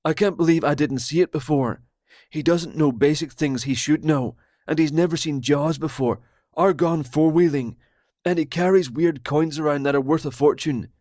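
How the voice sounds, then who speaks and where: A male narrator voicing a paranoid, excitable man from a trailer park, loud, fast and indignant. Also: a little high, dry recording, studio quality, pitched above the performer's own voice, close to an everyday voice. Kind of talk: audiobook character voice.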